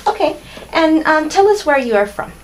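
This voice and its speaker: A woman conducting an oral history interview, asking questions and answering with short, gentle acknowledgements. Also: sits high, sing-song, moderate pace, low-quality recording.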